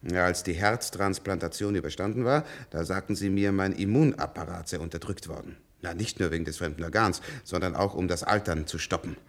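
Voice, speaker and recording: An actor playing a weary, bitter old professor in a radio play, halting and emphatic as he speaks. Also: sits low. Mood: bitter recollection. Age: older. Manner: halting, repeating words, emphatic. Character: weary, bitter old professor, captive of medicine, longing for death. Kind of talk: German radio play acting.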